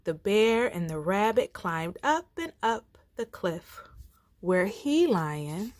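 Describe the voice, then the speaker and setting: Female read-aloud storyteller for kids, very expressive, takes her time, folksy and dramatic. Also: mid-range voice, slow pace, dry recording. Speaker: storyteller reading aloud for children.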